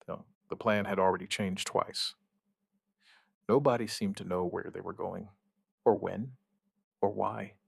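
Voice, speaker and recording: A man narrating a scripted first-person survivor horror story, gravely and in short, measured phrases with dramatic pauses. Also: deep voice, fairly even intonation, no room sound, clean studio sound.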